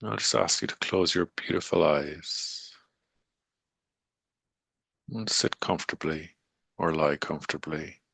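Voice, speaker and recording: Very deep, gravelly man guiding meditation. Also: no room sound. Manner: soothing, unhurried, gently coaxing with long pauses. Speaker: meditation guide. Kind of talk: guided meditation.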